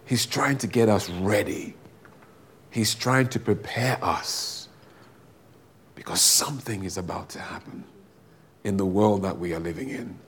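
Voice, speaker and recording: Slow, deep-voiced booming preacher man. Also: fairly bright tone, a little hiss or hum. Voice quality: big booming voice. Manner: slow, drawn-out, fervent delivery with repeated phrases. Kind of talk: sermon with prayer.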